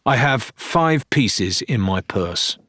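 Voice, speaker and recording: A man's voice: mid-range voice, expressive, slow pace, a bit bright. A man voicing a brusque, impatient young female pirate in an audiobook, challenging and sarcastic. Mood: firm. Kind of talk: dramatised audiobook character dialogue.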